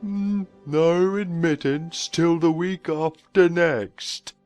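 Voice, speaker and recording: Man doing a slow, officious beaked creature. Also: fairly high pitch, darkish tone, faint music behind. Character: curt long-beaked creature guarding a door.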